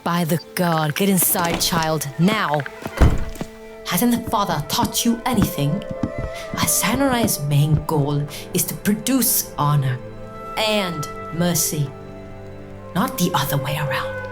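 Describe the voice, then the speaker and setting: A woman's voice: on the low side, animated, slow, pauses often, over music. A woman playing a stern, scolding mother in an audio drama set in feudal Japan. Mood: stern admonishing. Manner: scolding, commanding.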